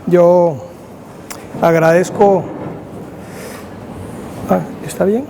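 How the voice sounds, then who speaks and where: An older man with a Colombian accent giving an academic lecture in measured, halting short phrases with restarts. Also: high-pitched, fairly even intonation, creaky, a crowd in the background, poor audio. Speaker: university lecturer, agronomist. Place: university seminar room. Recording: faint background noise.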